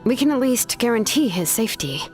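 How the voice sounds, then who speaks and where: A woman's voice: on the high side, very animated, a little fast, darkish tone, soft background music. A woman voicing an earnest, resolute young craftsman in a video game, sincere, polite and ready for self-sacrifice.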